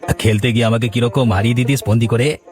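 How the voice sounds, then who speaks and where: A man's voice: medium pitch, fairly even intonation, fast talker, over music, natural voice. A man voicing a good-natured village working man in a cartoon, familiar and plain-spoken. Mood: playful. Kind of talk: cartoon dialogue.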